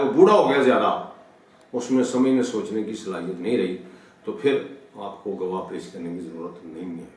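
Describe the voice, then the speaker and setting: A heavily reverberant recording of a Pakistani man teaching, picked up at some distance from the microphone. He has a mid-pitched voice and explains like a law lecturer, restating each point and dropping in English legal terms. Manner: didactic, repeats and rephrases points. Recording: very echoey room, distant mic.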